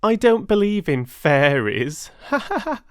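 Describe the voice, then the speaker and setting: A smug storybook boy who thinks he is too big for make-believe, played by a man. The voice sits somewhat high, with a mocking, scornful edge and lively swings in pitch. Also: a little slow, smooth, dry recording, clean studio sound. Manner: mocking, matter-of-fact. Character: scornful older boy next door, smug.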